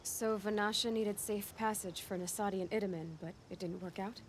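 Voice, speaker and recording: Game heroine, female actor: young huntress, medium pitch, matter-of-fact, determined, music behind. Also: fairly even intonation, fairly quick, slight background noise, lightly acted. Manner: plain, direct, matter-of-fact. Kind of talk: video game cutscene dialogue acting.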